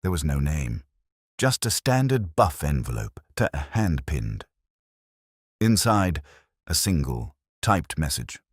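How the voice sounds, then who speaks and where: A man narrating a scripted mystery story in a measured, formal way. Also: rumbling low, sing-song, no echo, studio quality.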